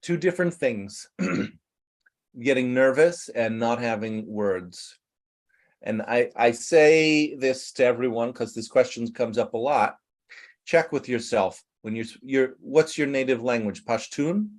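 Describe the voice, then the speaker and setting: A man teaching English to a group on a video call, lively and joking. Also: mid-range voice, slow. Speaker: English teacher leading an online class.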